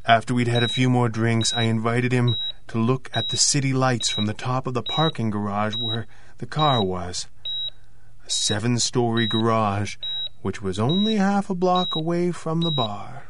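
A man's voice: mid-range voice, normal speed, background noise, natural voice. An actor playing an intense, guilt-ridden man confessing to murder in an audio drama. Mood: somber confession.

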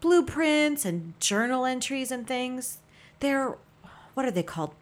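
A woman's voice: sits high, animated, measured pace. An actress playing an eccentric, wry small-town mother in her sixties, chatty, playful and evasive in a natural audio drama. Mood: chatty, musing. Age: 60s.

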